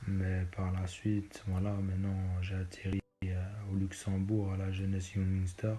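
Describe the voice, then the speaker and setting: A man's voice: low-pitched, completely flat delivery, moderate pace, mellow, slight background noise. A young man in his twenties from Belgium, answering interview questions casually and hesitantly, with many fillers and restarts. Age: 20s. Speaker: footballer interviewee.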